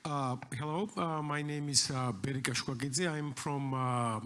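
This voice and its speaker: A man from Georgia introducing himself on a conference panel, halting with many fillers. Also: medium pitch, fairly even intonation, fairly bright tone, slight background noise. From Georgia (country). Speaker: panelist, education agency director.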